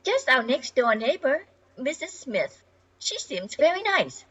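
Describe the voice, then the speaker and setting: An older woman playing a polite, confident thirties housewife new to the neighborhood in an amateur radio comedy, husky and genteel, on slightly dull audio. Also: high voice, sing-song, measured pace, fairly bright tone, heavily acted. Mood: polite, pleasant.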